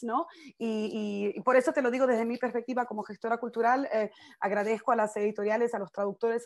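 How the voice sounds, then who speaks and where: A woman, moderating a panel in a slightly high voice. She thanks people, restarts her sentences, and hands the floor to the next speaker. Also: a little mellow. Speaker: moderator and cultural manager.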